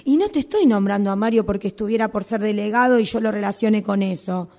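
A woman's voice: mid-range voice, fast, mellow, natural voice. A woman with a Rioplatense accent playing an indignant employee who confronts her boss with insistent questions, in amateur radio theatre. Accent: Rioplatense Argentine Spanish.

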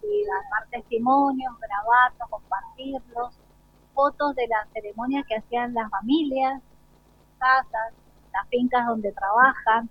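A woman with an Argentine accent speaking spontaneously as an interviewed school director. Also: on the high side. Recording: dull, lo-fi live broadcast sound.

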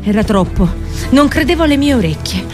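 An anguished princess prophetess of a fallen kingdom, speaking over music. Her delivery is intense and theatrical, in short, deliberate phrases, as in a radio play of ancient tragedy. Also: high-pitched, lively intonation. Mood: desperate, pleading. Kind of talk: dramatic radio play monologue.

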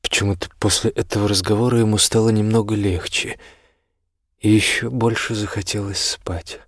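A man's voice: on the low side, fairly even intonation, moderate pace, no echo, studio quality. A man reading scripted fiction as the narrator of an audiobook.

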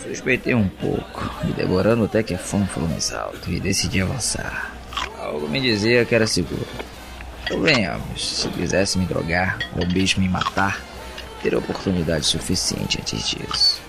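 A man with a Brazilian accent playing an introspective, uneasy captive who narrates an audio drama in a brooding, deliberate way. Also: low voice, sing-song, gravelly, over music, heavily acted. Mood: wary. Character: captive man, introspective, uneasy, reasoning through his confinement.

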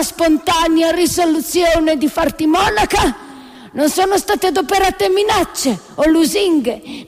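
Woman putting on a shrill, pompous, fawning bishop. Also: long reverb tail. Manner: drawn-out, declamatory, hesitant deference. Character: pompous, fawning male bishop, ceremonious and unctuous. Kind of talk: theatrical satire.

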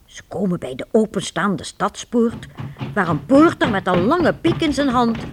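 A woman narrating a scripted radio play with animated, storybook delivery. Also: on the high side, a little slow, very noisy.

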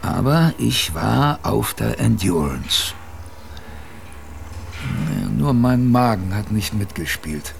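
An actor playing an old seafarer in a radio drama, colloquially reminiscing about his youth in a gravelly voice. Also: on the low side, very animated, slight background noise, full character voice. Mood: wry reminiscing. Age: older. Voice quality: gravelly, creaky. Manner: colloquial, unhurried raconteur.

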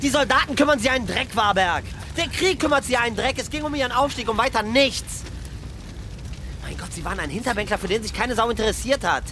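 An actor in a radio crime drama playing a bitter, ruthless political aide who speaks with cutting contempt. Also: male voice, very high voice, expressive, quick pace, sharp tone, slight background noise, full character voice. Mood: scornful, furious. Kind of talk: naturalistic German radio play acting.

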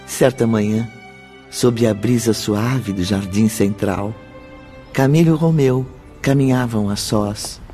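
A man playing a solemn, instructive narrator of a spiritual radio drama, with formal, measured phrasing. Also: lowish voice, warm tone, soft background music. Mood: solemn, informative.

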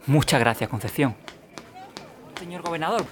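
A man playing a brisk, formal newspaper reporter putting questions in a period audio drama. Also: fairly high pitch, animated, slight background noise, close to an everyday voice. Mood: inquiring, formal.

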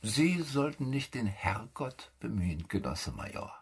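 A cunning young soldier in a radio drama, needling his superior with mock politeness. His husky voice rises and falls freely, always sardonic and insolent. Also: medium pitch, big pitch swings, measured pace, exaggerated voice. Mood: coolly provoking. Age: young adult. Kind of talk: German radio play dialogue.

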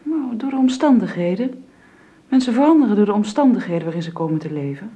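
Hesitant, earnest young woman, soft mellow voice played by an actress. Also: medium pitch, animated, fast talker, muffled recording, lightly acted. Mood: troubled, hesitant. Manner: hesitant, deferential. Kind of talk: Dutch radio drama acting.

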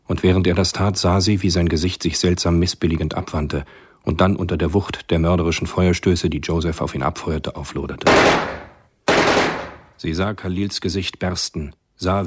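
Brief: male narrator for a radio thriller, very low register, husky, literary delivery.

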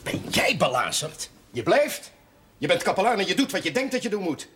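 Animated, wry priest, noisy soundtrack. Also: male voice, high-pitched, moderate pace. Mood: spirited, forceful. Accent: Dutch. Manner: teasing, blunt, animated. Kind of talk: television period drama dialogue. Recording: noisy old television soundtrack with clicks.

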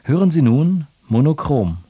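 Mellow male radio announcer, close and dry. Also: a little high, very animated, slightly rough, no echo. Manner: measured, formal delivery. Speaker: radio announcer introducing a sound art piece. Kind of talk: scripted radio narration.

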